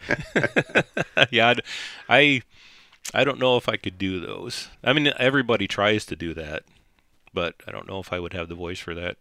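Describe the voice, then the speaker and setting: A man's voice: on the low side, normal speed, vocal fry, pauses often, bright, forceful tone, no echo, clean studio sound. A middle-aged man hosting a podcast in casual chat, rambling and chuckling as he talks. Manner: rambling, chuckling, self-correcting. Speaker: podcast host.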